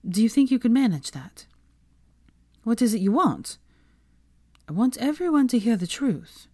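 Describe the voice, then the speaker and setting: A woman reading as a nervous young woman in a storybook. The voice is lifted high and swings about, pleading and easily flustered. The sound is close and dry. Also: big pitch swings, moderate pace, dark tone, dry recording.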